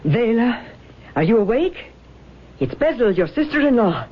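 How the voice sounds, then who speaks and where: An actress playing a stern, emotional village sister-in-law in a deliberate, dramatic radio drama style. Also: female voice, fairly high pitch, very animated, husky, full character voice. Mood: urgent, coaxing. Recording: noisy, dull old radio broadcast.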